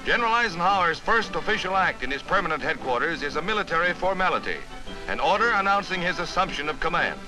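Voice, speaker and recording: American man, 1950s newsreel narration, animated swooping intonation, formal and emphatic, music behind. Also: fairly high pitch, quick pace, low-quality recording. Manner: formal, emphatic, dramatic announcer delivery. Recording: vintage newsreel soundtrack with music.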